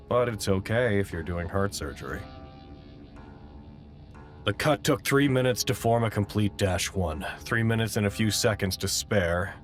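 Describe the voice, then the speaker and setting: An actor playing a fussy, self-important documentarian narrating a measured first-person log in an audio drama. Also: male voice, low-pitched, natural voice.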